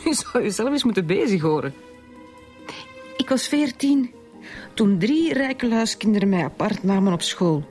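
An actress playing a bitter, protective schoolteacher of forty-three in a Flemish radio drama, speaking with halting emotion. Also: female voice, mid-range voice, expressive, darkish tone, soft background music, natural voice. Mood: bitter, indignant. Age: forties. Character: bitter, protective 43-year-old schoolteacher confessing to killing. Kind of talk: naturalistic radio drama acting.

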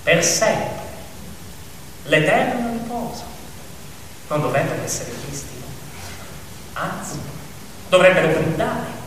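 A man performing a theatrical narrative monologue as actor-narrator, dramatic and declamatory, with repeated phrases and pointed pauses. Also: high voice, animated. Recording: very echoey hall from far off, faint noise.

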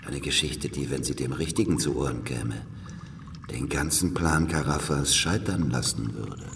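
An actor playing a worn, calculating older spy in a German historical radio drama, speaking in a grave, deliberate, conspiratorial way. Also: male voice, very deep voice, recorded from a distance, background noise. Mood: confiding, deliberate.

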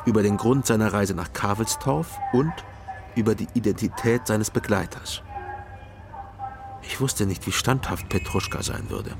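Actor as low-voiced, wry East Berlin private detective. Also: male voice, moderate pace, over music, natural voice. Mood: measured narrating. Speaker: narrator and lead detective. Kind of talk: first-person crime radio drama narration.

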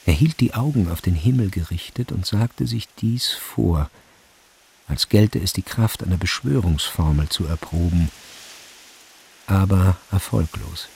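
A man narrating a literary radio play in a measured, solemn storyteller's manner. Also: low-pitched, lively intonation, vocal fry, a little mellow, heavily acted. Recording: faint background hiss.

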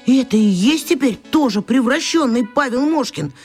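Schoolboy muttering to himself, baffled and suspicious, radio play for kids. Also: female voice, high-pitched, expressive, normal speed, slightly rough, soft background music. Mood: puzzled pondering. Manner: pondering, grumbling inner monologue. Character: bewildered, suspicious man trapped in a schoolboy's body. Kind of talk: children's radio play acting.

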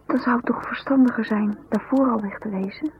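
A woman's voice: a little high, a little fast, natural voice. An actress playing a restless, needling wife in a 1970s radio drama, pointed and exasperated in her delivery. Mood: insistent, scornful. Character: restless, nagging wife, scornful and fearful, urging escape. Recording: thin phone-like vintage radio, faint hiss.

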